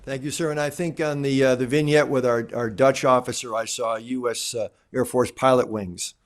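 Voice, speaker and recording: A man's voice: medium pitch, steady tone, moderate pace. A man moderating a formal panel, politely thanking speakers and putting questions to them.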